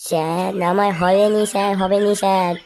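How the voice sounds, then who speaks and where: A woman voicing a cheeky, slow-witted schoolboy in a comic cartoon, whining and protesting. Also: mid-range voice, fairly even intonation, a little mellow, faint music behind, close to an everyday voice. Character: cheeky, slow-witted schoolboy pupil answering back to his teacher.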